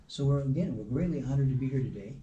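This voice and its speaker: A man's voice: mid-range voice, fast talker, muffled recording, a crowd in the background. A man giving informal remarks as a visitor, emphatic and repeating his points. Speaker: visiting donor. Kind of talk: informal spoken remarks to camera. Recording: distant mic with clear background noise.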